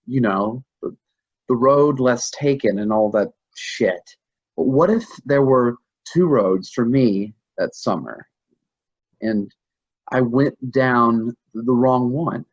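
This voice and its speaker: Man acting a husky, halting, shaken husband. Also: mid-range voice, dull audio. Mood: dazed, confessing. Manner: halting, hesitant, repeating himself. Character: shaken, confused husband grieving a lost pregnancy. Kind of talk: staged play reading, naturalistic drama.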